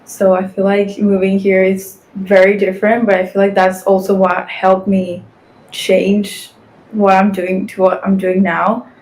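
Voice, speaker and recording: A woman's voice: medium pitch, completely flat delivery, a little slow, a little mellow, low-quality recording. Podcast guest: young woman, 18, from Guatemala, easygoing and chatty, lots of "like". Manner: chatty, frequent "like" fillers. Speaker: guest, fashion influencer and model. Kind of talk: casual podcast interview.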